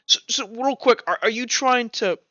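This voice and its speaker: A man's voice: extremely high pitch, moderate pace, pushed and bright, no echo, muffled recording, voice pushed up. A man voicing a blunt, hard-drinking male professor who swears and rambles with fillers.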